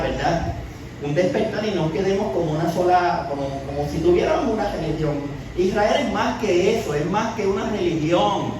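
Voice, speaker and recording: A Puerto Rican man with a Puerto Rican accent teaching a weekly Torah portion to a congregation, often asking questions and adding "¿verdad?". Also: sits high. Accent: Puerto Rican Spanish. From Puerto Rico. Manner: asks the audience questions and repeats words, often adding a tag ¿verdad?. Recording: very echoey distant room recording with noise.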